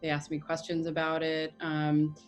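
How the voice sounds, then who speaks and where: An American woman speaking in a soft, halting way with many fillers, reflecting in an interview. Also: deep voice, completely flat delivery, faint music behind. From United States.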